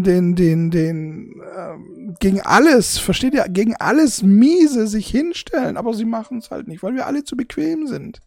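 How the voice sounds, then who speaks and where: A forty-year-old speaking off the cuff to an audience in a husky, gravelly voice, halting and repeating words, emotional and blunt with casual swearing. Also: mid-range voice, mellow, no room sound. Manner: halting, repetitive, searching for words. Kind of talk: unscripted casual monologue to an audience.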